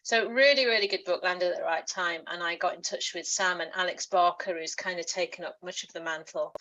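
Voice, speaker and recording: A woman's voice: on the low side, fairly bright tone, poor audio. A woman author as interview guest, chatty and enthusiastic, laughing often as she talks. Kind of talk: book club interview.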